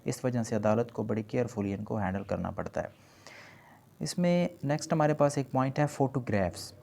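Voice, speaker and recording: Male lecturer, Pakistani accent, gravelly and mellow, didactic explainer who restates each point. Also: mid-range voice, normal speed. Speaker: law lecturer. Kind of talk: explanatory lecture mixing in English legal terms.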